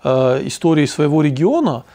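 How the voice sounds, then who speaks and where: A man from North Ossetia hosting a conversational podcast, speaking thoughtfully with frequent pauses and fillers. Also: a little high, big pitch swings, dark tone. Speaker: podcast host.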